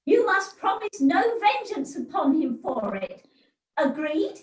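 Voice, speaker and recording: A woman's voice: high-pitched, sing-song, a little slow. Woman playing a swooping, bossy pantomime fixer. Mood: brisk, commanding. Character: bossy, bargaining pantomime fixer, firm and sly. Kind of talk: amateur pantomime acting. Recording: roomy, distant home video-call recording.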